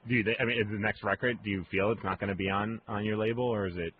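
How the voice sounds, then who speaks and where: Male radio host, informal and chatty interviewer, quick pace, phone-like sound with faint noise. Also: fairly low pitch, fairly even intonation. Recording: lo-fi phone-like radio recording, noisy.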